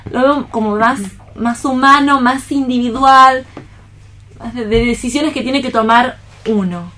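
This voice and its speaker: A woman's voice: a little high, a little slow, bright, forceful tone. A woman in a casual round-table radio discussion, explaining her view in short, rephrased bursts. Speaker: radio theatre reader in a discussion.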